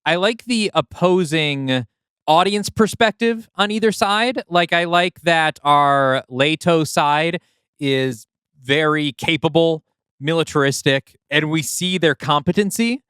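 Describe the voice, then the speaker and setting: A man with a slightly high, smooth, clear voice, co-hosting a podcast. He picks apart characters in a chatty, analytical way, full of fillers. The sound is dry and close. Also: a little slow, fairly bright tone, dry recording. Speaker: podcast co-host. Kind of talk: casual podcast discussion.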